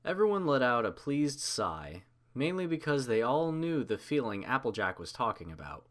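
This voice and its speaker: A man's voice: mid-range voice, big pitch swings, moderate pace. A man reading a story aloud as narrator, in a scripted storybook style.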